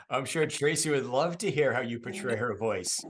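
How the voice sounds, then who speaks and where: A man's voice: medium pitch, a little hiss or hum. Chatty Boston man hosting a podcast. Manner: chatty, laughs often, prods with quick questions. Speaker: podcast host. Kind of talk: casual interview chat.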